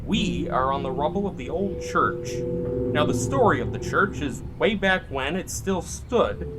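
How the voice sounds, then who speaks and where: A man's voice: fairly high pitch, expressive, a little slow, over loud music. A man playing the showy host of an urban legend show in a horror audio drama. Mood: showy, mysterious. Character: male urban legend show host broadcasting from a haunted cemetery.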